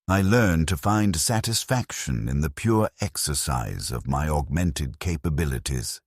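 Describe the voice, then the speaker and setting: Very deep, husky male narrator. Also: animated, no room sound, studio quality. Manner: measured, somber and reflective. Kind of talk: scripted first-person narration.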